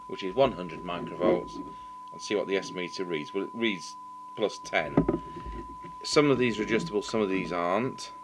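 A man giving an informal hands-on technical demonstration, matter-of-fact, thinking aloud as he works at a bench. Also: fairly low pitch. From United Kingdom. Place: indoors at a repair bench. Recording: clearly noisy workshop camera recording. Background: steady background noise.

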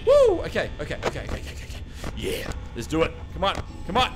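A young man playing a horror game and recording through a headset at home, muttering to himself and breaking into panicked shouts. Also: sits high, wildly swooping pitch, fast talker, music in the background. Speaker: gaming YouTuber. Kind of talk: horror game let's play. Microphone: over-ear headset.

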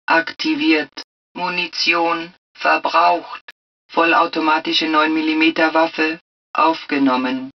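Slow, low-pitched suit computer. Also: female voice, far from the mic, highs cut off, exaggerated voice. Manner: impersonal, clipped, measured announcing. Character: calm computer voice of a protective hazard suit. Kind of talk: automated game announcements.

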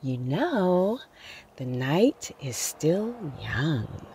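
A woman playing a teasing, good-natured newlywed bride in a radio drama, with a husky, creaky voice. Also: low voice, big pitch swings, very slow, warm tone, slight background noise, heavily acted.

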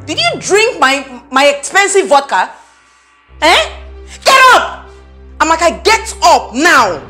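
High-pitched, spoiled young Nigerian madam. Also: female voice, sing-song, unhurried, pushed and bright, roomy sound, soft background music, full character voice. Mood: furious. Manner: haughty, exasperated, insulting. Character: spoiled, short-tempered young madam scolding her lazy maid. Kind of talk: melodramatic screen drama acting.

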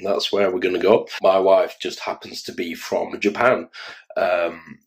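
A tired Irish man in his forties with a Dublin accent, talking casually and haltingly to his phone camera in a small room. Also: low voice, little pitch movement, rough recording. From Ireland. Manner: rambling, halting, self-mocking. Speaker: children's entertainer and vlogger. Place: small room indoors, in an office chair. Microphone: phone camera held close.

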